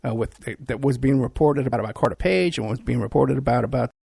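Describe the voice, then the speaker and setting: A man hosting a podcast, ranting in an animated, repetitive talk-radio monologue, hoarse and losing his voice. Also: mid-range voice, fast talker, no room sound, clean studio sound. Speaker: podcast host.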